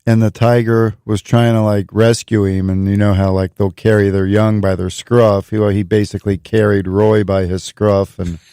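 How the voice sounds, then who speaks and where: A man's voice: lowish voice, little pitch movement, moderate pace, few pauses, dark tone, clear, resonant tone, no echo. An American man, a guest comedian, chatting casually in a studio with laid-back, dry humor.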